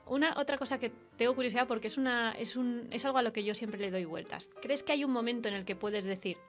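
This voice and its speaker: A woman's voice: fairly high pitch, quick pace. Spanish woman interviewer, conversational, faint music. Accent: Spain. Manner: conversational, thinks aloud, checks with tag questions. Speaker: radio interviewer. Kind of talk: casual literary interview chat.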